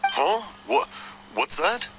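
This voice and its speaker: A man's voice: sits high, sing-song, slow pace, creaky, very noisy, full character voice. An actor playing a cheerful, hard-of-hearing old father and fireworks craftsman who rambles through his stories in a video game. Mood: confused. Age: older. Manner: rambling, easily confused storyteller. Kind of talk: English video game voice acting. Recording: music under the voice. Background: music.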